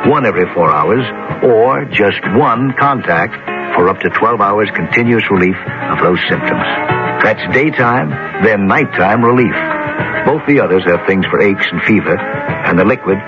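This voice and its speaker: Male ad announcer, upbeat sell, low pitch, dull old radio sound. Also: lively intonation, moderate pace, music in the background. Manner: upbeat, selling. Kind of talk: radio commercial.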